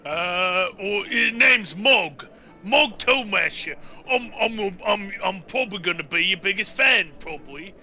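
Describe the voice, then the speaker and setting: An earnest, deferential would-be apprentice cook acted by a man in a tabletop game. The voice is high and piercingly bright, with halting delivery and faint music underneath. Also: expressive, exaggerated voice. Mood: eager, deferential.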